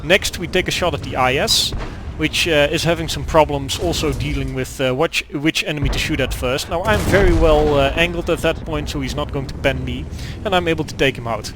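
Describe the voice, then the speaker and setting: A young man with a Dutch accent, Dutch his first language, commenting on a gameplay replay in a halting way with many fillers and restarts. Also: on the high side, background noise. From Netherlands. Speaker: gaming commentator.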